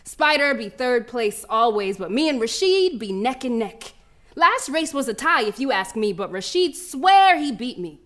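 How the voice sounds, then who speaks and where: A woman's voice: a little high, big pitch swings, normal speed, a bit bright. A woman playing a fierce, cocky preteen American girl runner in a live theatrical monologue, telling her story in brash, slangy street talk. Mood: animated, boastful. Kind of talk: first-person theatrical monologue performed live.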